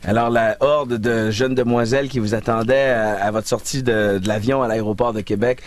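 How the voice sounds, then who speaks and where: A man with a Québécois accent hosting a morning radio show in a studio, jovial and quick to laugh. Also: mid-range voice, fairly even intonation. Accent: Québécois French. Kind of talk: casual morning show banter. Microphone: studio microphone.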